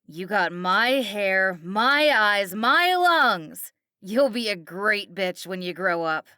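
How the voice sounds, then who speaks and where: A woman's voice: medium pitch, sing-song, takes it slowly, pushed and bright, clean tone, no echo, studio quality, exaggerated voice. A woman voicing a foul-mouthed, jealous, bullying mother on drugs, ranting in slang for an audiobook. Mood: bossy, scornful. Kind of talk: audiobook character acting.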